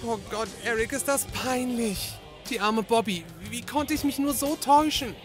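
An actor playing an anxious, naive, put-upon young detective's assistant in a comedy audio drama, whiny and flustered. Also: male voice, very high-pitched, moderate pace, music in the background. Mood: embarrassed, dismayed.

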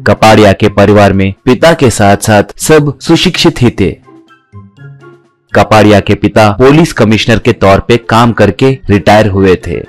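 Male story narrator, low register, scripted delivery, light music bed.

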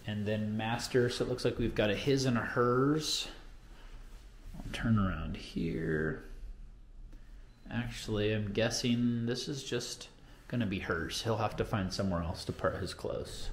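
A man's voice: mid-range voice, a little slow, vocal fry, long pauses. Casual American man on noisy handheld camera. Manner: informal, enthusiastic, slangy. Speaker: real estate vlogger giving a home tour. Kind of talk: casual walk-through tour commentary. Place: walking through a model home and its yard. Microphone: handheld camera at arm's length.

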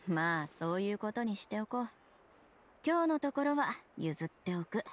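A woman's voice: mid-range voice, sing-song, moderate pace, a little hiss or hum, close to an everyday voice. A woman playing a stern young police captain in an anime video game, speaking in a clipped, official, authoritative manner. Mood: grudging. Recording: game music behind the voice.

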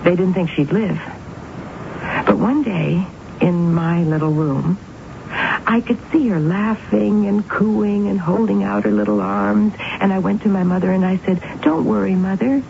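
A woman's voice: medium pitch, gravelly. An actress playing a young woman convinced of her psychic powers in a 1970s radio drama, grandiose and self-absorbed, with dramatic, emphatic delivery. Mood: confiding. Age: young adult. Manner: dramatic, emphatic, deliberate repetitions. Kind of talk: 1970s radio drama acting, dialogue and confiding narration. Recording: tinny, noisy 1970s radio broadcast recording.

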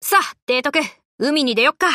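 A woman voicing a brash, tomboyish young warship girl in an anime game, talking in rough, cocky, casual boyish slang. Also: high-pitched, animated, moderate pace, pushed and bright, no room sound, studio quality. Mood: breezy, casual. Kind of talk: Japanese anime game voice lines.

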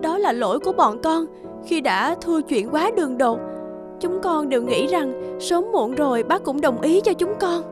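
Devoted young woman, very high voice. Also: expressive, moderate pace. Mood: sorrowful, earnest. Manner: earnest, pleading, respectful. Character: devoted, gentle young village woman, pleading. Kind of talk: animated folk tale voice acting. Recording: loud music behind the voice.

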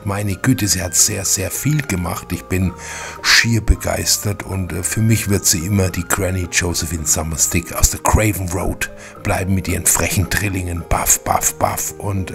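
A man's voice: low-pitched, creaky. A man hosting with casual, enthusiastic fan commentary, chattily addressing viewers with little tag questions. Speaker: channel host.

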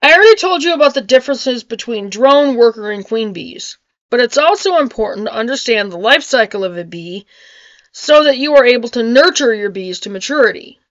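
A woman's voice: on the high side, expressive, fairly quick, no room sound, dull audio. A middle-aged woman reading an article aloud with casual asides, sometimes stumbling over and repeating hard words, recorded on a webcam in a home kitchen. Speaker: homesteading vlogger. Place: small home kitchen with wood-panel ceiling, indoors.

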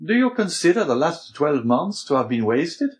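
A man voicing a gentle, anguished, principled elderly scholarly priest in an audiobook, speaking in earnest, formal exclamations. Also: fairly high pitch, sing-song, normal speed, pitched above the performer's own voice. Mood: kindly, wise.

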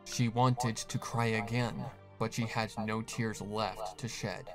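A man's voice: fairly low pitch, level tone, takes it slowly. Man narrating dramatically over prominent music. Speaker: narrator of a horror fan fiction.

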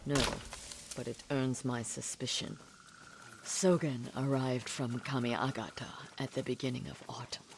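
A woman's voice: rumbling low, steady tone, measured pace, background noise. An actress playing an older samurai widow in a video game, grave, clipped and resolute in her grief and vengefulness. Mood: cold resolve. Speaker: game character. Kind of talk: dramatic video game acting. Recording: music behind the voice.

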